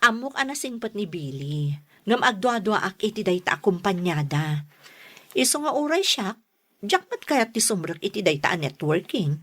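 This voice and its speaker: A woman's voice: mid-range voice, very animated, normal speed, a bit bright. A woman with an Ilocano accent playing a cautious, admonishing elder sister in a radio drama. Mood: cautious, teasing. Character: cautious, sensible elder sister who teaches. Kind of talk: Filipino radio drama acting.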